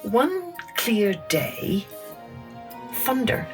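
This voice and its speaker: Female storyteller, unhurried, expressive, children's tale narration, music in the background. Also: fairly high pitch. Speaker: storyteller, podcast host.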